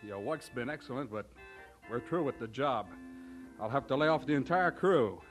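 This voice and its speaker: A man playing a brisk, plain-spoken work foreman in an old radio drama. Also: mid-range voice, animated, soft background music, natural voice. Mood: regretful. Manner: brisk, matter-of-fact.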